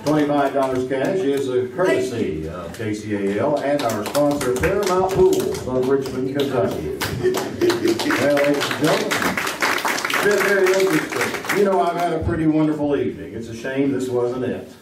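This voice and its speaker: A man's voice: mid-range voice, animated, normal speed, close to an everyday voice. A man playing a jokey 1950s game show host. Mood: genial. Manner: jokey, chatty, laughs at his own gags. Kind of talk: live stage performance of a retro game show. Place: small theater with live audience. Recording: echoey theater, distant mic, loud noise.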